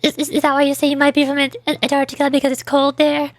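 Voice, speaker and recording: High-voiced woman streamer thinking aloud. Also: monotone, fast talker, dry recording. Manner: hesitant, thinking aloud, stammers and repeats words. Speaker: streamer, VTuber. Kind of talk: casual livestream chat with viewers. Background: background music.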